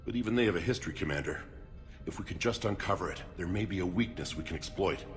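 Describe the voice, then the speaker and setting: An actor playing an earnest, driven older research scientist in a video game. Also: male voice, low-pitched, animated, fast talker. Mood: earnest, intent. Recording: music under the voice.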